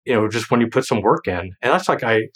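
A man's voice: mid-range voice, lively intonation, fast talker, fairly bright tone, husky. A man in his thirties talking casually in a podcast conversation, rambling with many fillers and restarts and laughing often. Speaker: guest, former financial planner and creator.

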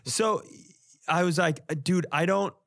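A man's voice: high voice, slow, vocal fry, a bit bright. A man telling comic stories in casual podcast chat, with an exasperated, profane delivery. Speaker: comedian, podcast co-host.

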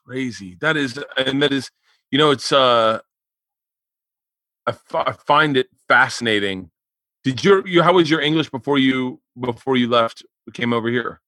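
Bright-voiced rambling male host. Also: medium pitch, normal speed, no room sound. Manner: loose, rambling, swears freely. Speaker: podcast host. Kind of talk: casual podcast chat.

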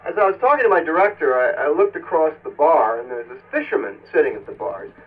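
Distant dull line, man acting earnest field reporter, lively highish pitch, dramatic radio drama delivery. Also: quick pace. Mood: eager, reporting. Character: earnest male public radio field reporter, easily alarmed. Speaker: actor. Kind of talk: radio play acting, live news report. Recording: dull distant phone call-in.

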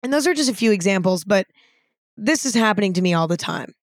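A young woman in her twenties with a raspy voice, talking frankly and casually on her own podcast, with some swearing. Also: mid-range voice, lively intonation, fast, no echo, studio quality. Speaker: podcast host. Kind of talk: candid solo podcast talk.

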